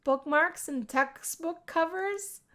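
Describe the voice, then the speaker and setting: A shy young student in a visual novel, played by a woman. Her voice is very high, and she speaks in a hesitant, self-conscious way. She comes across as bookish and reserved. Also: slow pace, fairly bright tone, heavily acted. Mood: hesitant, embarrassed. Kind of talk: visual novel character voicing.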